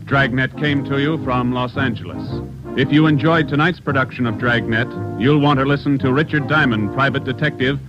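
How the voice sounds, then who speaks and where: An American male announcer reads formally in 1940s network style. His medium-pitched voice is polished. The old broadcast is muffled and has clear music underneath. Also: steady tone, normal speed.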